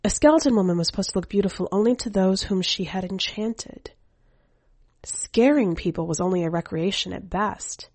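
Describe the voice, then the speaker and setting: A woman's voice: medium pitch, moderate pace, darkish tone, breathy edge, no echo. A woman reading a scripted first-person short story as a podcast narrator. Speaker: podcast editor and story reader.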